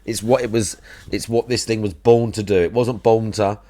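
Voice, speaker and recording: A man, a streamer chatting loudly and bluntly, mocking and swearing while reacting to another stream. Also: lowish voice, fairly even intonation, normal speed. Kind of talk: casual swearing live-stream chat.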